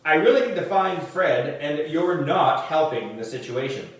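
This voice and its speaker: A man's voice: a little high, animated, normal speed, close to an everyday voice. A man voicing an anxious, defensive schoolboy in a children's storybook, emphatic and pleading. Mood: annoyed, defensive. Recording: roomy echo, distant microphone.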